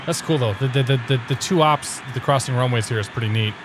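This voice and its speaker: A man's voice: mid-range voice, fast. Slightly tipsy male streamer, loose chatty delivery over audible background noise. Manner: chatty, rambling, reads his own radio calls. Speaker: flight sim streamer. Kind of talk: casual gameplay commentary.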